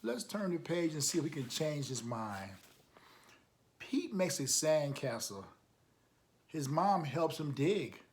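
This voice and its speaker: A man with a slightly high voice reads a children's picture book aloud at an unhurried pace. He is encouraging and emphatic, stepping out of the text to add remarks and questions for young listeners. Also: very animated. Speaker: storytime reader, coach.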